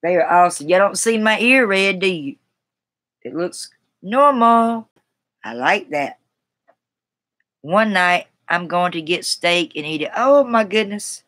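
A woman's voice: on the low side, expressive, measured pace, long pauses, a bit bright. A middle-aged woman with a Carolina Southern accent, chatting casually with viewers in a rambling, folksy, colloquial way, recorded on a webcam in a room at home. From South Carolina, United States. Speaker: livestream host. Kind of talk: casual chat, responding to viewers. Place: small room at home, indoors.

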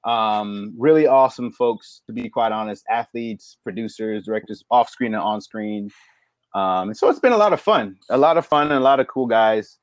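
A middle-aged man, a barber guest, chatting casually on a video call and telling stories with lively acted-out quotes. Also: fairly low pitch, normal speed, no room sound. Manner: animated storyteller, acts out quoted lines. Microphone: video call mic.

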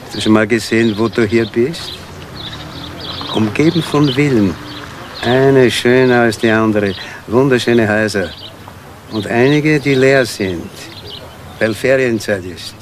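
An actor playing a worn-out ex-convict burglar in a crime radio play, coaxing and wistful, speaking deliberately in short, persuasive phrases. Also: male voice, mid-range voice, noisy background, natural voice.

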